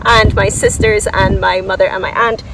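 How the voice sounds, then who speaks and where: Female garden vlogger, medium pitch, unscripted and keen, informal and self-deprecating, recorded outside with faint ambient noise. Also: little pitch movement, moderate pace, a bit bright. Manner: enthusiastic, informal, self-deprecating, thinks aloud. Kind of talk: casual, unscripted chat to viewers. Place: outdoors in a flower garden. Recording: distant mic, outdoor background noise.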